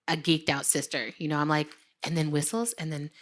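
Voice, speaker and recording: A woman's voice: sits low, little pitch movement, brisk. A woman co-hosting a live podcast taping on stage, interviewing her sister and acting out her own reactions in quoted voices. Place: live event stage before an audience.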